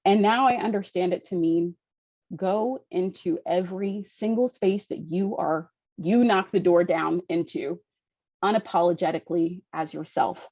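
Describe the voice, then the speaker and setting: A woman's voice: lowish voice, moderate pace, darkish tone, no echo. A woman panelist speaking earnestly and inspiringly about finding peace and lifting others up. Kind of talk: motivational panel talk. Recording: dull, dry lo-fi call audio.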